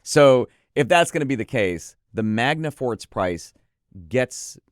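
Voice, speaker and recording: A man's voice: medium pitch, animated, a little slow, no room sound, clean studio sound. A man co-hosting a product preview, reviewing gear conversationally with emphatic, enthusiastic praise.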